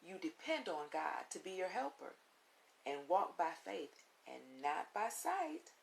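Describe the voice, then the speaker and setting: A woman reading a children's book aloud as a storytime host, with deliberate, instructive phrasing and emphatic pauses, on a recording with audible hiss. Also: lowish voice, very animated, gravelly. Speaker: read-along storytime host. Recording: audible hiss and background noise.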